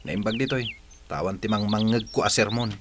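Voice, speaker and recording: A man's voice: fairly low pitch, fairly quick, lightly acted. A man playing a stubborn, short-tempered young boyfriend in an Ilocano audio drama, speaking bluntly and insistently. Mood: content, directing. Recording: faint noise, clicks and birdsong.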